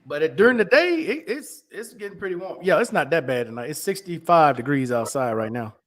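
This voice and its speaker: A man's voice: a little high, expressive, brisk. A man in a casual podcast chat, talking in a laid-back, slangy way and calling his friend bro and dude.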